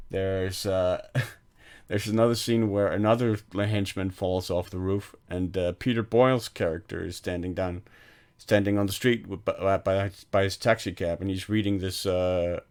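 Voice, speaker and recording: A man's voice: low-pitched, fairly even intonation, a little slow, darkish tone, smooth. A man co-hosting a film podcast, retelling movie scenes in a rambling, casual way with many restarts and laughs. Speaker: podcast co-host.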